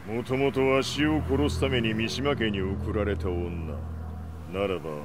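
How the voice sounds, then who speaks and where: A man's voice: on the low side, a little fast, noisy background, lightly acted. A male actor playing a ruthless, proud old martial-arts patriarch in a video game, speaking commandingly in archaic old-man style. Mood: grim, resolute. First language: Japanese. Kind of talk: dramatic video game acting. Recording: dramatic music behind the voice.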